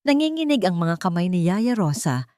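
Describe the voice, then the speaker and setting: A woman's voice: on the low side, sing-song, normal speed, dark tone, no room sound, clean studio sound. A woman storyteller giving scripted dramatic narration of a love story.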